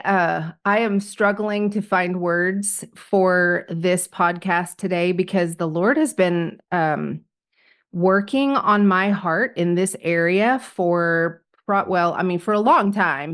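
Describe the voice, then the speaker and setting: A woman's voice: lowish voice, smooth. A laughing, hesitant podcast host woman. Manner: reflective, hesitant, laughs often. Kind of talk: casual interview chat.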